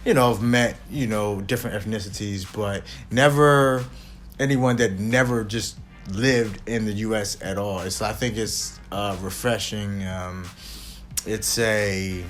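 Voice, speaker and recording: Low-voiced rambling man. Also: fairly even intonation, a little slow, soft background music. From United States. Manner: rambling, frequent "you know" fillers. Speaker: co-host. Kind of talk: casual chat.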